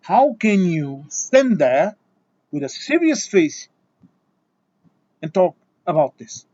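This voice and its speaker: A man's voice: high voice, very animated, takes it slowly, darkish tone, no room sound, highs cut off. Exasperated Portuguese man lecturing. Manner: exasperated, lecturing, many restarts and repeated words. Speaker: video essayist. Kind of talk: impassioned opinion monologue.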